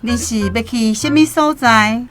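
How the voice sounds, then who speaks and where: A woman's voice: a little high, unhurried, over music, lightly acted. Woman acting a coaxing old roadside tempter. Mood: inviting. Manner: coaxing, persuasive. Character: old roadside guide, sly persuasive tempter. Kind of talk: Taiwanese radio drama acting.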